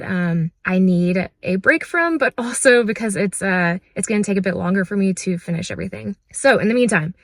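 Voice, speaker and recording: A young woman from Texas, chatting upbeat in a casual vlog while a little hoarse from a recent cold, full of "like" and "uh". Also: medium pitch, no room sound, studio quality. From Texas, USA. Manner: chatty and upbeat, with many "like" and "uh" fillers. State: hoarse after losing her voice to a cold. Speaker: vlogger, maker. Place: inside her car, then out at estate sales. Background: music playing underneath.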